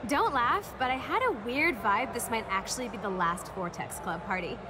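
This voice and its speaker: A woman playing a sociable teenage party girl in a game. Her fairly high voice moves animatedly through casual, confiding talk, with clear noise behind it. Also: expressive, normal speed, close to an everyday voice. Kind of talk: video game cutscene dialogue.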